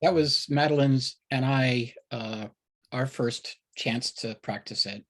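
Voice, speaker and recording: A man hosting a casual interview, speaking in a hesitant, halting way as he pieces his thoughts together. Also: medium pitch, a bit bright. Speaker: host.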